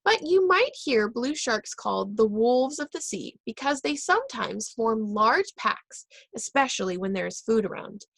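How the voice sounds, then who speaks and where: Woman reading a kids book aloud, kinda gravelly, higher voice, stops and fixes words. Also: very animated, a bit bright, dry recording. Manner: reads aloud with pauses and self-corrections. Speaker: reader.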